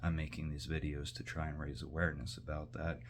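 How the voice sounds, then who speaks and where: A man's voice: a bass voice, flat delivery, fairly quick, slight background noise. A man talking casually to the camera in a meandering way, full of fillers and restarts, while cooking in his kitchen. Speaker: vlogger. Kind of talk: rambling casual monologue.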